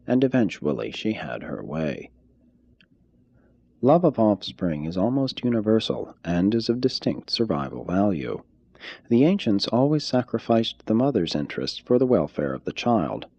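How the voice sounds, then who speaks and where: A man reading a formal text aloud as scripted narration, in a measured, deliberate way. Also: fairly low pitch, warm tone, breathy edge, no echo, lo-fi sound. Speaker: audiobook reader.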